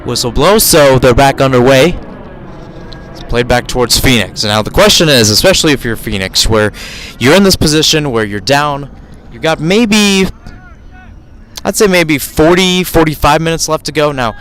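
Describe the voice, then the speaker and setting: A man's voice: mid-range voice, animated, normal speed, fairly bright tone, a little hiss or hum. A man calling live soccer play-by-play for radio from outdoor fields, talking in a rambling way and often correcting himself.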